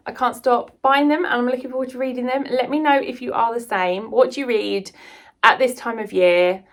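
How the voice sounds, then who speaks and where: A woman's voice: a little high, fairly bright tone. A British woman chatting casually and enthusiastically to viewers in a rambling book vlog. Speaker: book vlogger and writer.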